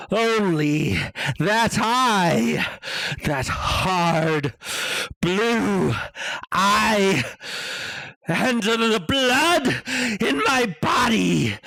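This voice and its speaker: A man playing an obsessive, unhinged murderer in a dramatic gothic horror reading, halting and theatrical with suspenseful pauses. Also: sits high, creaky, bright, forceful tone, no echo, heavily acted. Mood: rising rage. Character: obsessive, unhinged murderer confessing his crime.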